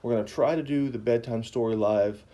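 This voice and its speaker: A man's voice: medium pitch, a little fast, mellow. A man hosting a family livestream, chatting casually and reading a bedtime story in an informal, explanatory way.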